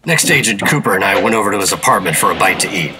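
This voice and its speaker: An American man playing a firm, dutiful government operative in a 1950s radio drama, authoritative and measured. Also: fairly low pitch, animated, loud music behind the voice, close to an everyday voice. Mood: matter-of-fact narrating. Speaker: lead actor and narrator.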